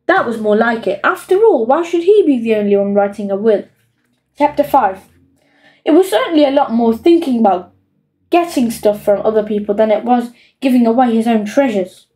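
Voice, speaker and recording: High-pitched woman reading a children's story. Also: animated, moderate pace, dark tone. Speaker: audiobook reader. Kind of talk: expressive children's story narration.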